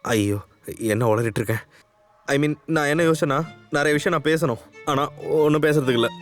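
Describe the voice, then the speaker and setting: A man acts out a nervous young man in love with a fairly high voice. He rattles along quickly in short-film dialogue, halting, rambling and defending his pride. Faint music plays behind him. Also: natural voice. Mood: flustered. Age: young adult. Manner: halting, rambling, self-justifying. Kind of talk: conversational Tamil short-film acting.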